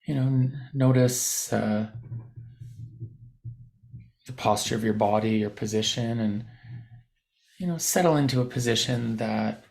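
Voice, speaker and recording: Gravelly-voiced man guiding meditation. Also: medium pitch, a little slow, pauses often, a little mellow. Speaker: meditation guide. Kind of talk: guided body scan meditation, unscripted spoken instruction.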